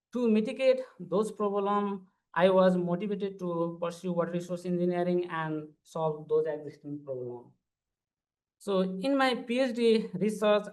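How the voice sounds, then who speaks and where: A man's voice: sits high, low-quality recording. A man, giving an earnest, formal conference panel presentation as a PhD student. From Nepal. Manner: earnest, formal, walks through slides step by step.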